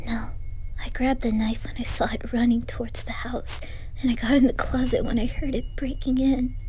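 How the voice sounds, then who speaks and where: A woman playing a terrified young woman trapped at home, intense, pleading and often hushed, in a horror story. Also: fairly high pitch, little pitch movement, moderate pace, slightly rough. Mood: whispering, terrified. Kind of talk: dramatised horror story reading.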